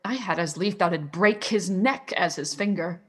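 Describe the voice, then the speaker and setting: A woman's voice: on the low side, moderate pace, close to an everyday voice. A woman playing a scheming, envious male villain in a Shakespearean radio play. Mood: bitter, scheming. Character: scheming, envious villain who hates his brother.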